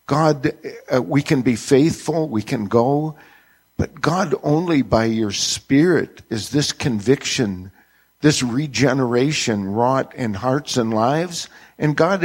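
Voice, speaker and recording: A man's voice: mid-range voice, darkish tone, slightly rough. A male pastor praying aloud before others in an earnest, reverent manner, addressing God again and again. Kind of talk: extemporaneous public prayer.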